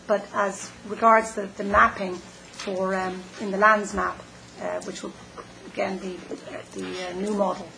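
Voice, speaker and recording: A woman presenting planning work at a public meeting, measured and hesitant with many fillers. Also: mid-range voice, little pitch movement, vocal fry, background noise.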